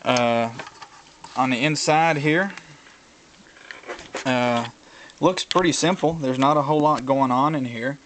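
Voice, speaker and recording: A man talking casually through a hands-on tutorial, explaining things in a halting way as he thinks aloud. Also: mid-range voice, a bit bright, a little hiss or hum, poor audio. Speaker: hobbyist video presenter.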